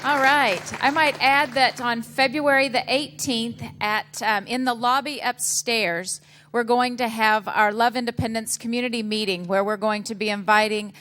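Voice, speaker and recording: A woman's voice: fairly high pitch, steady tone, moderate pace, fairly bright tone, some chatter behind, a little hiss or hum. Upbeat woman hosting a community breakfast. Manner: upbeat, with frequent ums. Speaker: event host. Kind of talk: event announcements. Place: community breakfast meeting room.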